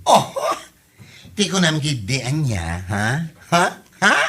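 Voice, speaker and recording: An actor voicing a loud, nosy, flamboyant gossiping neighbour in an Ilocano comedy drama, with an Ilocano accent and theatrical, taunting exclamations. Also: mid-range voice, big pitch swings, slow.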